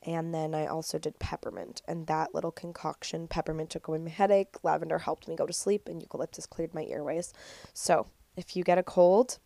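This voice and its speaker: A woman's voice: low-pitched, level tone, a little fast, creaky, mellow, no room sound. A young woman in her twenties hosting a casual solo podcast, stuffed up and nasal with a cold, chatty and upbeat with lots of "like". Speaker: podcast host.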